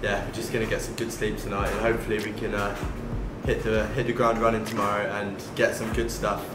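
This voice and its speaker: A jet-lagged man chats to his viewers as he walks around the city. His voice is worn and hoarse, and loud music plays behind him. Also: lowish voice, fairly quick. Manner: excitable, chatty, addresses viewers as guys. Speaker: travel vlogger. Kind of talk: casual travel vlog. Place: outdoors in a city at night. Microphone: camera-mounted shotgun mic.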